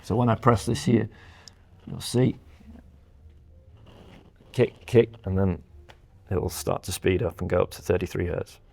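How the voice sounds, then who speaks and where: A man's voice: sits low, measured pace, gravelly, pauses often, a little mellow, husky. A man giving a step-by-step technical explanation as a company representative presenting audio products.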